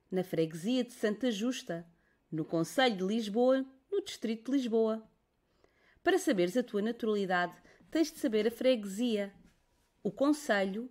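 A woman's voice: on the high side, a little mellow. A woman from Portugal with a European Portuguese accent, patiently narrating a children's lesson. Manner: slow, patient, explaining to children. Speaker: teacher narrator.

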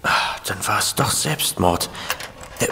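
An actor playing a detective's nervous, squeamish sidekick in a radio play, stammering and hesitant. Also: male voice, fairly low pitch, lively intonation, a little slow, vocal fry, noisy background, heavily acted. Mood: jittery. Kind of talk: German radio play acting. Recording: reverberant room, music behind.

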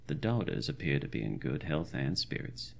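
A man playing a fussy, caring 1920s country doctor, reading his journal in formal, ornate, old-fashioned diction for a horror audio drama, with a deep, gravelly voice. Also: sing-song, moderate pace, a little hiss or hum, full character voice. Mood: fond, thoughtful.

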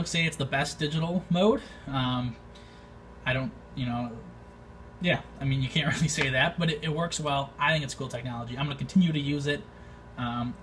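A man giving his opinions in an informal vlog, thinking aloud in a hesitant, halting way. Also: mid-range voice. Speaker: amateur radio video maker. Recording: distant mic, faint background noise.

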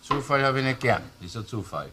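An actor playing a sceptical, probing police investigator in a crime radio play, speaking colloquial Viennese German with an insistent, questioning manner. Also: male voice, medium pitch, lively intonation, fairly quick, close to an everyday voice. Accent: Viennese Austrian. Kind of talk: German-language crime radio drama acting. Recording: noisy old radio play recording.

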